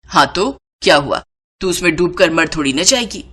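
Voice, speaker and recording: A woman's voice: lowish voice, expressive, normal speed, pushed and bright, husky, heavily acted. A woman as a scolding older mother-in-law. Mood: scornful. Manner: scolding, taunting. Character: stern, scolding older Indian mother-in-law, scornful and bossy.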